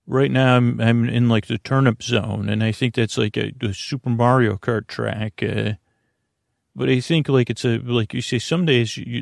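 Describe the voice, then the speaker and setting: A man hosting a bedtime podcast, talking in a meandering, gentle way full of fillers and restarts. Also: mid-range voice, gravelly, no echo, studio quality. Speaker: podcast host. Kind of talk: rambling soothing bedtime podcast talk.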